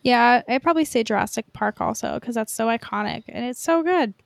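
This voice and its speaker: High-voiced woman chatting casually. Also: fairly quick, vocal fry, no room sound. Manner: informal, enthusiastic, swears freely. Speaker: podcast co-host. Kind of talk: casual pop-culture chat.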